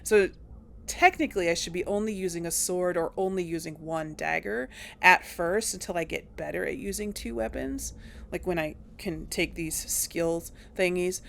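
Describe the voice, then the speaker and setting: Unhurried woman gamer musing over her choices, hesitant, a touch low and gravelly. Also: a bit bright, a little hiss or hum. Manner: thinking aloud, hesitant, chuckling. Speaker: let's play gamer. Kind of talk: casual gameplay commentary.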